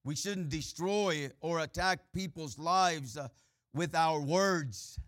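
A man with a Mexican-Texan accent preaching a sermon, full of "uh" and "amen" fillers, swinging between loud preaching and quiet asides. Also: fairly high pitch, slow pace, dry recording, clean studio sound. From Mexico and Texas.

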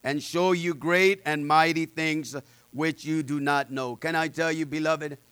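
A man preaching a sermon with personal testimony, loud and fervent, peppering his words with amens and uh's. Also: on the high side, fairly even intonation, measured pace, smooth, no echo.

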